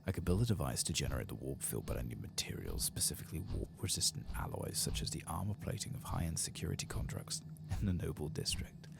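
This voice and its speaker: A soft-spoken man murmuring his way through an ASMR game playthrough, in an unhurried manner. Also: very deep voice, big pitch swings, vocal fry. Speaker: gaming streamer.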